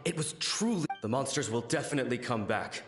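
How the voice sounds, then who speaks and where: A man's voice: medium pitch, lively intonation, a little fast, roomy sound, soft background music, lightly acted. A man voicing a loyal, brave samurai soldier in an anime-style video game, formal and earnest in his delivery. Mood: earnest, resolute.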